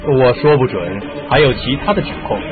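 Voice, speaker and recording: A man's voice: mid-range voice, lively intonation, a little fast, phone-quality sound, lightly acted. A man acting in a radio drama as a sceptical but reasonable police inspector with a questioning manner. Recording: band-limited audio with music.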